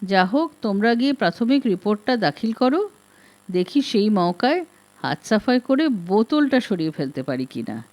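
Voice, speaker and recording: A woman reading an audiobook as a composed, firm wife who talks in a calm, matter-of-fact way. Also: medium pitch, normal speed, natural voice. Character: composed, firm wife managing her drinking husband. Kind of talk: audiobook detective story reading.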